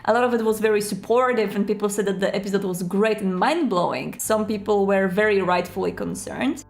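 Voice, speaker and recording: A woman's voice: mid-range voice, gravelly. A woman hosting a casual explanatory vlog, talking candidly and informally with joking asides. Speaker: video host and tabletop roleplayer.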